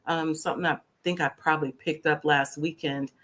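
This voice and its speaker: A woman hosting a casual talk stream, her voice deepened by a cold, talking slowly with many pauses and ums. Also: steady tone, a bit bright. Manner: slow, drawn-out, many ums. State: deep voice from a cold. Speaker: host.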